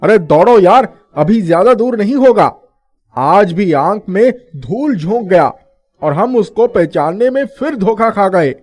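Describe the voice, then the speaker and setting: A man acts out an agitated villager from a folk tale. His voice sits high for a man, and he exclaims his lines in storybook cartoon fashion. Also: animated, unhurried, a little mellow. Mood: urgent. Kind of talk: animated moral story dubbing.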